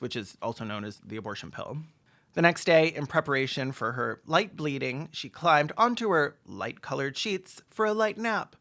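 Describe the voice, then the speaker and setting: A mid-pitched commentator delivers sarcastic film commentary. The talk moves between deadpan asides and mock-dramatic emphasis, played for comedy. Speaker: video commentator.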